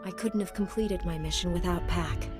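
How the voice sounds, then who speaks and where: A woman's voice: lowish voice, normal speed, music in the background, natural voice. A woman playing a resolute young heroic knight in a video game, confident and direct. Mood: calm, assured.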